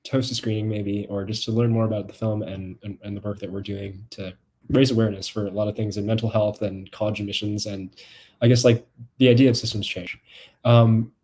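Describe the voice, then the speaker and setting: A young man chatting casually on a video call panel, halting and often repeating his words. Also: fairly low pitch, level tone, darkish tone, slight background noise. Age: young adult. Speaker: documentary film subject on a Q&A panel. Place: small room at home, video call.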